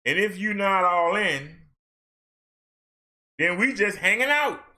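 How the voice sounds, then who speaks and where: A man vlogging a heated rant in casual colloquial speech, firing off rhetorical questions and at times shouting. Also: high voice, expressive, takes it slowly. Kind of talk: ranting social commentary.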